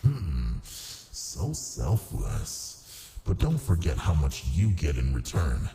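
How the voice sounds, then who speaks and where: A man playing a massive ancient male demon in an ASMR roleplay, smug and amused, with a smooth, politely menacing, domineering way of talking. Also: very deep voice, animated, normal speed, dark tone. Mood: teasing, coaxing. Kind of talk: ASMR roleplay, close and unhurried.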